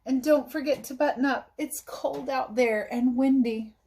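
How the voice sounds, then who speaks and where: A woman's voice: high-pitched, steady tone, measured pace, warm tone, voice pushed up. A woman voicing a tired, sick and tenderly protective dressmaker mother with a weak voice in a storybook. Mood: tender, fussing.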